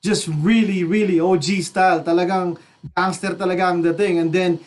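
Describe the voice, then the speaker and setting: A man's voice: high voice, fairly even intonation, moderate pace, a little mellow, slight background noise. A middle-aged man hosting a casual radio interview, speaking in a slangy, code-switching way. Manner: slangy, mixes Tagalog into English. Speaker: radio host.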